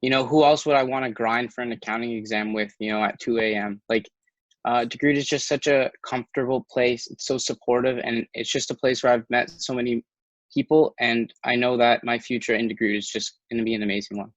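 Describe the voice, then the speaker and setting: A man's voice: medium pitch, fairly even intonation, brisk, no echo, rough recording. A young man, a student candidate, giving an earnest, rehearsed campaign speech. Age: young adult.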